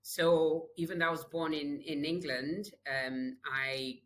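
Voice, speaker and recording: A woman in her fifties who grew up in Nigeria, answering interview questions in an unhurried, thoughtful storytelling way with small restarts. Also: deep voice, fairly even intonation. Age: 50s. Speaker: guest, global health leader.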